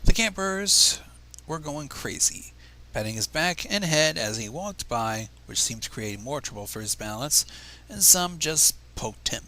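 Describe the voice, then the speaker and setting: A man reading a story aloud on a livestream in an expressive, informal, theatrical and irreverent way. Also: fairly high pitch, measured pace, rough recording. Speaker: fan fiction reader on a livestream.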